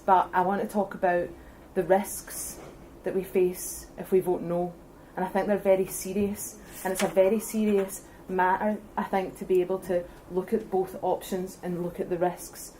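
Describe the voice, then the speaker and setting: A young Scottish woman giving an earnest, forceful speech at a public debate, building her case point by point. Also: lowish voice, slight background noise, low-quality recording. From Scotland. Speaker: debate speaker for independence.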